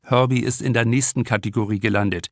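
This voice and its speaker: A man's voice: medium pitch, fairly even intonation, fast, dry recording, studio quality. A man reading a crime novel aloud as a scripted audiobook narration. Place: dry studio.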